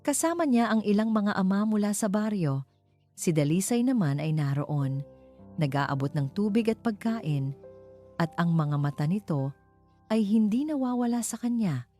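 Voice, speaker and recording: A woman's voice: mid-range voice, expressive, moderate pace, dark tone, faint music behind. A woman with a Filipino accent reading scripted narration of a romance story as its narrator.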